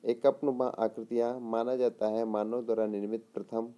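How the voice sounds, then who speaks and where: A man giving an exam lesson read from notes in a deliberate way, posing questions and answering them, sometimes repeating words. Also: mid-range voice, level tone, mellow, highs cut off. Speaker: teacher.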